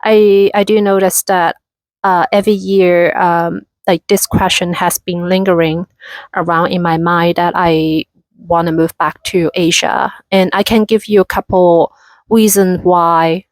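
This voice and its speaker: A woman's voice: lowish voice, fairly even intonation, a little slow, dry recording. A woman in her thirties from Hong Kong with a Hong Kong accent, chatting casually in a vlog with many fillers and restarts. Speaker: vlogger.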